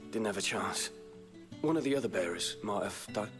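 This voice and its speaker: Man acting low-pitched villager, music behind. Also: lively intonation, moderate pace, lightly acted. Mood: matter-of-fact. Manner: colloquial, matter-of-fact. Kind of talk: video game cutscene acting.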